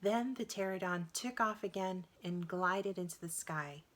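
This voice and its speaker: A woman reading a children's adventure story aloud in an expressive, dramatic narrating style. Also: fairly low pitch, a little slow. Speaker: storybook reader.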